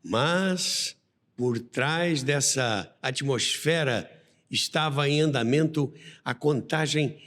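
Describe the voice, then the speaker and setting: A man with a Brazilian accent narrating a scripted documentary as the host of a radio history show. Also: fairly high pitch. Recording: long reverberant hall sound.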